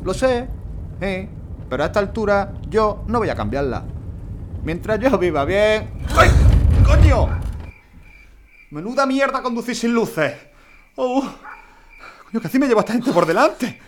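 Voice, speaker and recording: Audio drama: selfish, blunt trucker played by a man, higher-pitched, animated. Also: unhurried, pauses often, noisy background. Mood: shrugging, then startled, annoyed. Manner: blunt, swearing, stammering in protest. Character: gruff, selfish, grudging truck driver.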